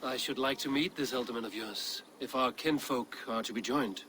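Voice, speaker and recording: An actor playing a steady, diplomatic Viking warrior chieftain in a video game, measured and dryly wry. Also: male voice, medium pitch, slight background noise, lightly acted. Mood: friendly, probing. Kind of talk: dramatic video game acting.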